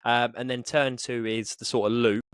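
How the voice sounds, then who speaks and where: A man's voice: medium pitch, little pitch movement, moderate pace, fairly bright tone, a little hiss or hum. A man co-hosting a motorsport podcast, talking casually and emphatically as he tells a story. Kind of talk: casual sports discussion.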